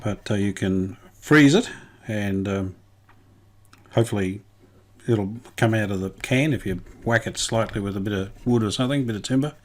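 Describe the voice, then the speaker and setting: A middle-aged man, talking casually while he tests and repairs an old radio in his workshop, thinking aloud with many pauses and restarts. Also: on the low side. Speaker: radio restorer, channel host. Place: home workshop bench.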